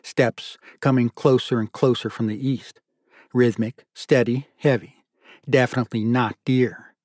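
A man narrating literary fiction from a script in a measured, deliberate storytelling manner. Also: mid-range voice, fairly even intonation, darkish tone, no echo, studio quality. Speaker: audiobook narrator.

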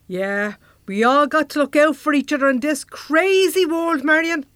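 An actress playing a brisk, down-to-earth Irish woman who leads a misfit gang in a comedy audio drama. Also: high voice, a bit bright, no echo, studio quality. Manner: bossy, down-to-earth, chatty.

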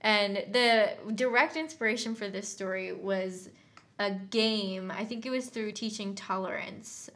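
Female podcast writer in casual discussion; reflective explainer with a crisp, bright edge. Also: mid-range voice, unhurried. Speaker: podcast co-host and story writer.

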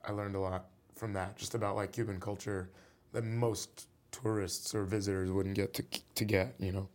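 Low-pitched male guest, laid-back musician, chatty and meandering. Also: moderate pace. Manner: relaxed, rambling with many fillers. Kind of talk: casual interview talk.

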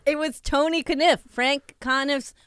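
A woman's voice: sits high, steady tone, a little slow, heavy background noise. A woman co-hosting a podcast, bubbly and giggly in casual chat. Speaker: podcast co-host.

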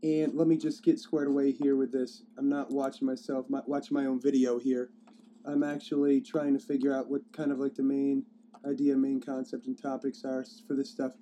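A hoarse man with a cold hosting his own podcast, rambling casually with many fillers and restarts as he streams live from his phone. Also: medium pitch, flat delivery, moderate pace, warm tone, a little hiss or hum. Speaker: podcast host. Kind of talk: casual solo podcast talk.

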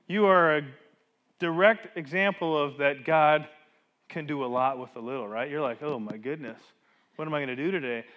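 A man, a pastor, preaching a sermon in church on a microphone, chatty and full of rhetorical questions to the congregation, with big swings of loudness for emphasis. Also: high voice. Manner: voice rises and falls sharply for emphasis.

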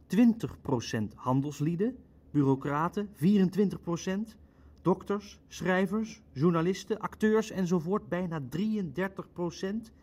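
A precise, sceptical official at a wartime conference in a radio play. His voice is a touch higher than average. He speaks formally and with measure, placing his points pointedly. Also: a little slow, a little mellow. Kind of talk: Dutch audio drama acting.

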